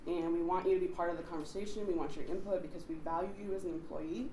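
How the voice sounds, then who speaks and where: A hoarse woman with a somewhat low voice speaks as an assistant library director at a board meeting. She is measured and earnest. The recording is echoey, with background hiss. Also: fairly even intonation, warm tone. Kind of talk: public comment at a board meeting. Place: town meeting room. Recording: roomy government-access recording with background hiss.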